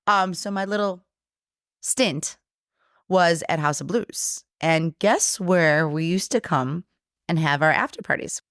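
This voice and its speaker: A woman whose first language is Polish, chatting as a guest on a bar podcast and telling animated stories with acted-out quotes. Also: fairly low pitch, takes it slowly, no echo, studio quality. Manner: animated storyteller, acts out quotes, swears casually. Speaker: guest, brewery co-owner. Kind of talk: casual bar podcast chat.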